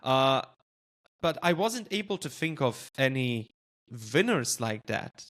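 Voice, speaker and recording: A man's voice: medium pitch, sing-song, slow pace, fairly bright tone. A man whose first language is Lithuanian, hosting a podcast interview in a thoughtful way with many hesitations. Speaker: podcast host.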